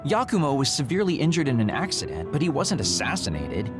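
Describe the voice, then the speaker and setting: A forthright, warm-hearted young soldier in a video game. His pitch moves dramatically around a middle register. Faint music sits behind him. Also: huge pitch swings, quick pace. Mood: incredulous.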